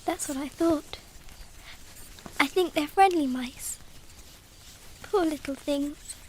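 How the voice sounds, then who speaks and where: A woman's voice: high voice, slow, slight background noise. A woman playing an earnest, tender-hearted little girl in a radio drama, with a wide-eyed manner. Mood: tender, subdued. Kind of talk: radio theatre acting.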